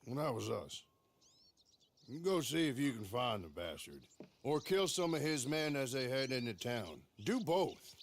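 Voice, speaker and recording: A man's voice: medium pitch, close to an everyday voice. Wry Old West marshal, played by a man. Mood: wry, instructing. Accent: American. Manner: folksy, sardonic. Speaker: lawman. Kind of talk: video game cutscene acting.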